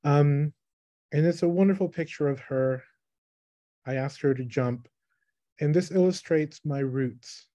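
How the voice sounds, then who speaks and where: A man in his thirties, from the Philippines, giving a thoughtful online talk about his photography on a video call, with pauses and restarts. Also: fairly high pitch, mellow, dry recording, lo-fi sound. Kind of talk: online presentation. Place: small room, indoors. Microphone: webcam on a video call.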